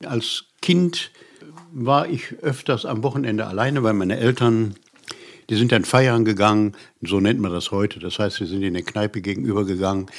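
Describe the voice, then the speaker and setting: An elderly man in his seventies speaks in a low, gravelly voice with a husky edge. He reminisces informally as an interview guest, haltingly, often restarting as he searches for the next word. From western Germany. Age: 70s. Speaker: interview guest, musician and writer. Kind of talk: casual personal recollection.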